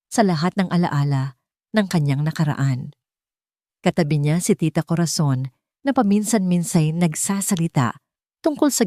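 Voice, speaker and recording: A woman's voice: low voice, dark tone, no room sound, clean studio sound. A woman with a Filipino accent reading a scripted dramatic story as its narrator.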